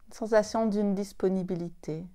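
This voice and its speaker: A woman leading a guided yoga nidra meditation, speaking soothingly in short, unhurried phrases with long pauses. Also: mid-range voice, steady tone, warm tone.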